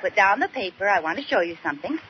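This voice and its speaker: An American actress playing a scheming, wheedling young housewife with theatrical, exaggerated pleading in an old-time radio comedy. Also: female voice, medium pitch, expressive, quick pace, lightly acted. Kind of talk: 1940s-50s radio comedy acting. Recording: muffled, noisy old radio recording.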